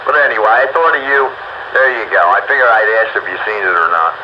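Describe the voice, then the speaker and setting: A man's voice: lowish voice, expressive, fast. A man chatting casually on the radio in a folksy, rambling manner. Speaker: amateur radio operator. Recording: dull radio transmission, clear background noise.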